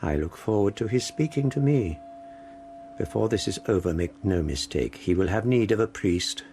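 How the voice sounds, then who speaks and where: An actor playing a sanctimonious, insinuating court priest with faint menace and an unctuous, formal manner, in a radio drama. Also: male voice, on the low side, expressive, normal speed, dark tone, a little hiss or hum. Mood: insinuating, ominous.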